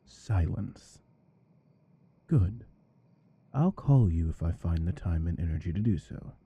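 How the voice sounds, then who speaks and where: Male actor as domineering office boss, very low dark voice, husky, controlled, weary, ASMR roleplay. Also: animated, slow, no room sound, full character voice. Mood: cold, stern. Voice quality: deep. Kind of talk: scripted ASMR roleplay acting.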